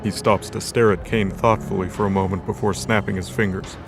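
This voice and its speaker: A man's voice: lowish voice, fairly even intonation, a little fast, gravelly. A man reading scripted narration for a light novel audio drama. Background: background music.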